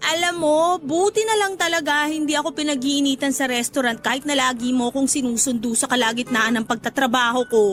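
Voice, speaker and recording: High-voiced, teasing young Filipino woman. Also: steady tone, a little fast, a bit bright. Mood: teasing, playful. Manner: teasing, playful banter. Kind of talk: Filipino radio drama dialogue.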